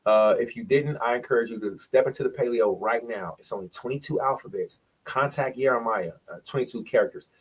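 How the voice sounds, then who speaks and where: A man's voice: medium pitch, brisk, few pauses, slightly rough, poor audio. A man presenting in a bible study, explaining points from a shared screen and sounding out syllables, often repeating himself.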